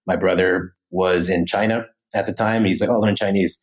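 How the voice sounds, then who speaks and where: Animated low-voiced American man telling stories. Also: moderate pace. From United States. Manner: animated, fillers like "right?" and "you know". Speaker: podcast guest. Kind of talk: casual storytelling interview.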